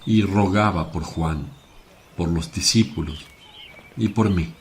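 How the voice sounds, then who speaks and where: A man acts out a grave and gentle sorrowful teacher in a religious radio play. The voice is very low and slow, measured phrase by phrase, with faint birdsong behind it. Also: a little hiss or hum. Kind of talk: devotional radio play acting. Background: birdsong and outdoor ambience.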